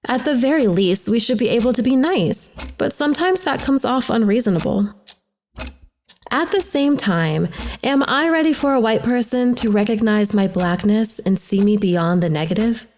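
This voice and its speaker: A woman's voice: on the high side, animated, normal speed, darkish tone, a little hiss or hum, lightly acted. An actress playing a troubled young woman in a reflective, questioning dramatic inner monologue. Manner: reflective, rhetorical, searching. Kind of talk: dramatic introspective monologue voice-over. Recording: voice-over over prominent music. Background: music.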